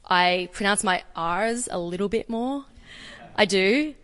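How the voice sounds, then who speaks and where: Australian female speaker, lively and self-deprecating, mid-pitched, live hall sound. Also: slow pace. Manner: energetic, self-deprecating, chatty. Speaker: guest speaker. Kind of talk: live talk and audience Q&A. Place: conference room with audience. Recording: reverberant hall.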